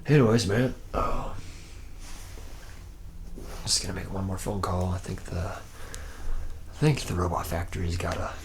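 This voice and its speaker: A man acts out a boozy, womanizing young robot-factory mechanic in an ASMR roleplay. His voice is very low with a heavy creak, drifting through cocky, teasing bro slang. There is clear background noise and ambience around him. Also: measured pace, long pauses. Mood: casual, bargaining. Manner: rambling, slangy bro talk, boastful and teasing.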